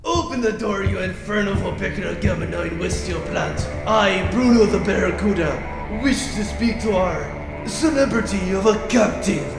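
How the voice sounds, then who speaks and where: High-voiced pompous space pirate, echoing. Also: male voice, moderate pace, music in the background. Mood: menacing, pompous. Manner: pompous, florid insults. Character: pompous, bullying male space pirate villain. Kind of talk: comedic audio drama dialogue. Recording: echoey, long reverb.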